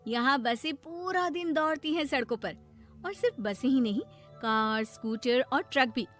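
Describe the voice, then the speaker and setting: A woman voicing a kind, gently bossy older city cousin who explains things patiently in a cartoon story. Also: sits high, lively intonation, moderate pace. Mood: patient, proud. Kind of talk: Hindi moral-story cartoon dubbing. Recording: music behind the voice.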